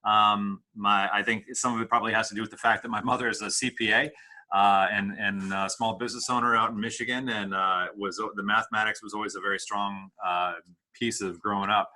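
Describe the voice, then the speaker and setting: A middle-aged man from the Midwest, answering interview questions as a charity director in a measured, hesitant way with many fillers. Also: on the low side, level tone, pushed and bright. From Midwest United States. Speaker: executive director of a homeless services charity.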